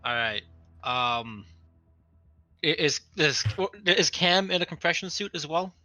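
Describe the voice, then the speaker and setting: A man's voice: fairly high pitch, lively intonation, slow, pushed and bright, no echo. A man playing a tabletop roleplaying game, talking casually as he plans his character's moves.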